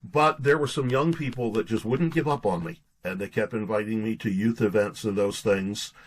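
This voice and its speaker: A man's voice: fairly low pitch, moderate pace. A middle-aged man from Pittsburgh speaking as an interview guest, telling personal stories in a folksy, conversational way. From Pittsburgh, United States. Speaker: guest, pastor and author.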